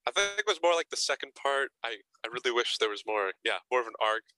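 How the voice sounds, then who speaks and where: Hesitant young man with a bright voice. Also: mid-range voice, very animated, fast, no room sound. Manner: hesitant, repeats words, frequent fillers. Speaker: actor guest. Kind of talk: casual interview answers.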